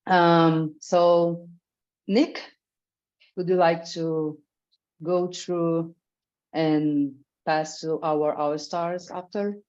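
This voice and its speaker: A woman on a video call speaking slowly and haltingly as she hands over to the next speaker. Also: low-pitched, darkish tone. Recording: dull lo-fi sound from far off.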